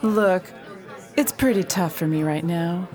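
A woman's voice: on the low side, measured pace, crowd noise, lightly acted. A woman playing a brash, defiant woman. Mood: coaxing. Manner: sarcastic, defiant. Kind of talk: amateur radio drama.

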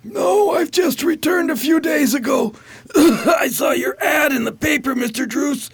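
A man playing a frantic, guilt-ridden, ailing gambler in an amateur radio drama, with a strained, gravelly voice. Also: very high-pitched, measured pace, shouting, heavily acted. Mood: anguished confession.

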